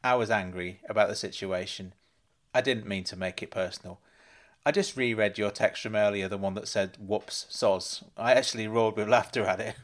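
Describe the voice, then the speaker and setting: A man voicing a relaxed, forgiving male friend in a storybook reading. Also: fairly low pitch, normal speed, lightly acted. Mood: easygoing.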